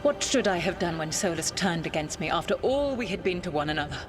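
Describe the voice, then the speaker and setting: An actress playing an ancient, proud elven goddess in a video game, with formal, measured, commanding speech. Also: female voice, on the low side, lively intonation, music in the background, lightly acted. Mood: bitter, challenging. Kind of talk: dramatic video game acting.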